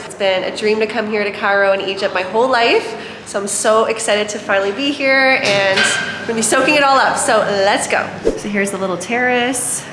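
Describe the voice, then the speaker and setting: A woman from Canada vlogs her trip in a bright, bubbly way, her voice sitting a little high. She talks straight to the camera on the move. Noticeable background noise runs under her voice. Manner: bubbly, upbeat, laughs often. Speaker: travel vlogger. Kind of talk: casual vlog chat. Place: outdoors and on the go in a busy city.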